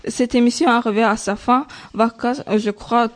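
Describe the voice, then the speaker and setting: A woman's voice: fairly high pitch, fairly even intonation, a little slow. A young woman from Azerbaijan presenting a prepared personal testimony on a youth radio show, speaking a language that is not her native one. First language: not French.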